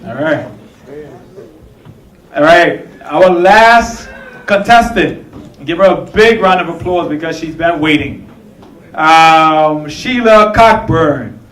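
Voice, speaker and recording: Slow-talking male emcee in an echoey hall. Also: a little high, animated, fairly bright tone. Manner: slow, measured announcing. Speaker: event emcee. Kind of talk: announcing contestants. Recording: echoey hall recorded from far off.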